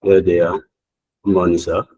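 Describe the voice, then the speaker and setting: A man's voice: sits low, little pitch movement, moderate pace, mellow, breathy edge, no echo, dull audio. A man answering mock student visa interview questions politely and formally, with some hesitation.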